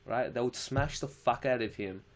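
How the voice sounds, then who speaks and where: A man's voice: medium pitch, normal speed. Laid-back young Australian man. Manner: laid-back, slangy, swears casually. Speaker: live streamer. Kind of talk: casual live-stream chat reading viewer questions.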